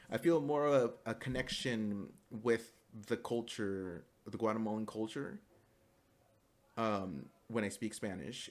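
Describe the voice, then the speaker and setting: Young man, low voice, halting, filler-heavy, class presentation. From United States. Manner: halting, many fillers and restarts; switches into fluent Spanish. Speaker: student.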